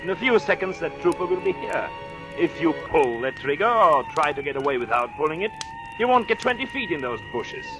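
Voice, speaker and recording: An actor playing a calm, controlling psychiatrist in an old-time radio thriller, educated, polite and commanding. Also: male voice, high-pitched, brisk, music in the background. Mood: urgent, commanding.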